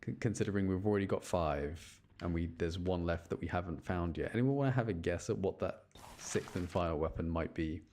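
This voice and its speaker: A man's voice: deep voice, brisk, few pauses, darkish tone, a little hiss or hum. A man chatting casually on a game livestream, rambling along and addressing his viewers. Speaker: streamer. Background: game music.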